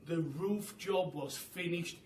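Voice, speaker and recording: Guy reading a kids book out loud, takes his time, animated, echoey room with a bit of noise. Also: on the high side, slow pace. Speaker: storytelling teacher. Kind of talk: animated children's story reading. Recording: roomy, distant mic with faint noise.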